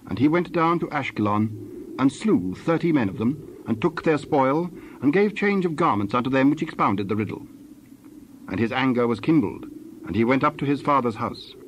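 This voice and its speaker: A man's voice: medium pitch, animated, moderate pace, a little hiss or hum, rough recording. A man narrating scripture as the storyteller of a dramatized Bible reading.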